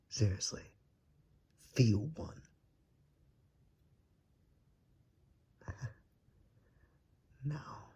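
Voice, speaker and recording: Man as a husky, whispering, suave vampire. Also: mid-range voice, a little mellow, full character voice. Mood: coaxing, patient. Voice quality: breathy, husky, half-whispered. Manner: courtly, drawn-out, seductive menace. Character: suave, predatory vampire, coaxing and darkly polite. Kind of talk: ASMR roleplay, slow and whispery.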